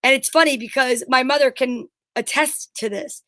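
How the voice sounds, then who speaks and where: A woman with a high voice and close, dry sound chats casually as a co-host. She is blunt and emphatic, drifting into rambling personal anecdotes with the odd swear word. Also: steady tone, normal speed, bright, forceful tone, no room sound. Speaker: show co-host. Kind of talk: casual chat.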